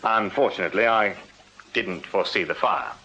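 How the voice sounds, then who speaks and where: Bright-voiced Victorian English police sergeant. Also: male voice, fairly low pitch, normal speed. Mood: dry, measured. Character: shrewd Victorian police detective sergeant, dry and deferential. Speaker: actor in a radio play. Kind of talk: British radio drama acting. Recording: dull, lo-fi radio recording.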